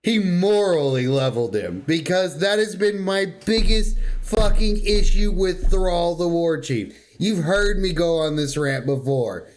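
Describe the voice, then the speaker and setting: Blunt, higher-pitched man ranting on a podcast. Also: animated, measured pace, hardly any pauses, a little hiss or hum. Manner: blunt, opinionated, slangy and sweary. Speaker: podcast co-host. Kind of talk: casual chat, ranting about a video game.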